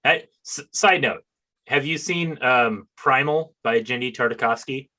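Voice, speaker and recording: An American man with a bright, forward voice at an ordinary male pitch. He hosts a relaxed conversational interview in an animated, enthusiastic way. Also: unhurried. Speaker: podcast host and interviewer. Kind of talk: casual interview chat.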